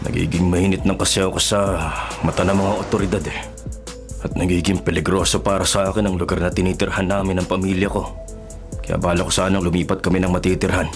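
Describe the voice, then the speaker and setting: A man's voice: deep voice, level tone, quick pace, music in the background. An actor playing a stubborn, middle-aged hitman and father in Filipino radio drama, speaking sternly and with menace. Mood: guarded, firm.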